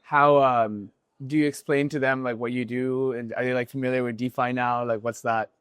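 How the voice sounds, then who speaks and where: A man hosts a casual interview, prompting his guest with curious follow-up questions. His voice has a typical middle pitch. The sound is dry and close to the mic. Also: little pitch movement, normal speed, fairly bright tone, smooth, dry recording. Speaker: interview host.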